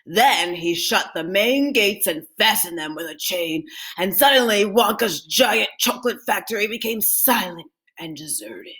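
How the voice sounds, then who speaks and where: A woman voicing an old grandfather in a storybook, telling a mystery in a drawn-out, suspenseful way. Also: medium pitch, a bit bright, lightly acted. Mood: solemn.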